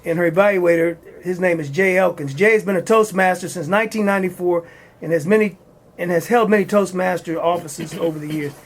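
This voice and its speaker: A man hosting a community talk show, introducing a speaker with halting restarts. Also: high voice. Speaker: host. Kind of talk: introducing a guest.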